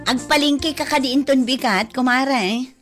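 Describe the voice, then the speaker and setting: A woman's voice: high voice, measured pace, over music. A woman acting a friendly, chatty village neighbour in a comedy drama.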